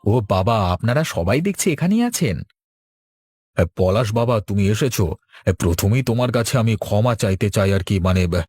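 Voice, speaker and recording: A man's voice: lowish voice, lively intonation, moderate pace, darkish tone, husky, no echo, clean studio sound. An actor playing an earnest, talkative village man in a cartoon, exclaiming and explaining. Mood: flustered. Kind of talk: Bengali cartoon dialogue.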